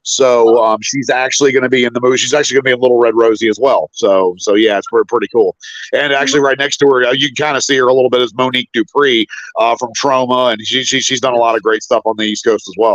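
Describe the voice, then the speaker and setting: Fast, stammering man on lo-fi stream. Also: steady tone, a bit bright, no room sound. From West Virginia, United States. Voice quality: booming. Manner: rambling, stammering, repeats his words. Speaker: guest, indie filmmaker. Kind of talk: casual chat. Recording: dull lo-fi stream audio.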